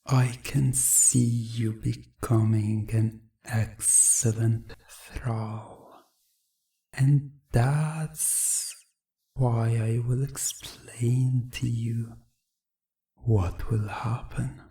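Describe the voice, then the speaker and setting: A man voicing a commanding, seductive vampire master in a hushed, deliberate, hypnotic ASMR roleplay. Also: mid-range voice, fairly even intonation, distant mic. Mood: menacing, seductive.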